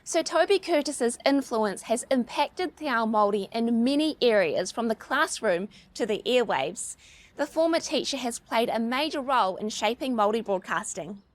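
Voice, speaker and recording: A woman, narrating a news feature in a measured, clear way. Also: a little high. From New Zealand. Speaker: news presenter.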